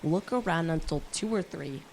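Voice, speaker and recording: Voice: mid-range voice, expressive, normal speed, gravelly, poor audio. A young performer playing a bold, scheming teenage boy who leads a gang of kids in a radio drama, persuasive and informal. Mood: confident. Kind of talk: youth radio drama acting. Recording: noticeable background noise.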